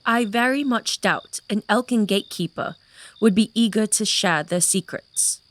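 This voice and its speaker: A woman's voice: mid-range voice, moderate pace, fairly bright tone, natural voice. An actress playing a composed woman of rank who speaks with poised, polite authority in a fantasy audio drama. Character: composed noblewoman with mind powers.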